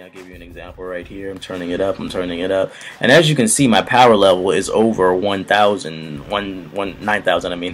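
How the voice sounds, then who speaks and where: A young man with a somewhat low voice walks viewers through a tutorial in a loose, joking way. He is recorded on a built-in computer mic in a small room at home, with faint music behind him. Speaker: YouTube tutorial host. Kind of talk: casual screen-recorded tutorial.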